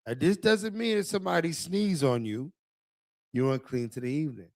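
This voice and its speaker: A man's voice: on the high side, sing-song, moderate pace, creaky, darkish tone, no echo. A man leading a casual Bible study who directs the reading and explains each verse step by step. His voice is recorded dry and close, with no room sound. He keeps checking in with "right?".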